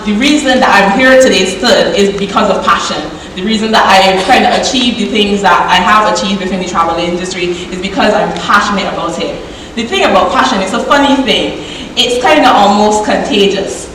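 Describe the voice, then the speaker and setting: A young woman with a Barbadian accent talks to a conference audience in a lively, chatty way. Her voice sits in the middle of the usual range. She is heard from a distance in a large, echoing hall with a long reverb and a faint hiss behind her. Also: medium pitch. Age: 20s. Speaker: conference speaker. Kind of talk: conference keynote talk. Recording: echoey hall from far off.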